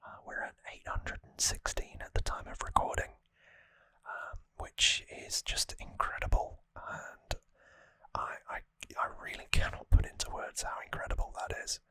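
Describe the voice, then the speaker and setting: An ASMR host speaking in a breathy, unvoiced whisper, gentle and earnest, slightly rambling to viewers, close on the microphone. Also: moderate pace, no echo. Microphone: close microphone in a dry space.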